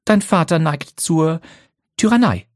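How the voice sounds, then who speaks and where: A man reading a wife's lines, voicing a slender, energetic woman who speaks dryly and defiantly. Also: fairly high pitch, unhurried, dry recording, pitched above the performer's own voice. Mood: scornful. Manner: dry, biting, defiant. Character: energetic slender sharp-tongued wife.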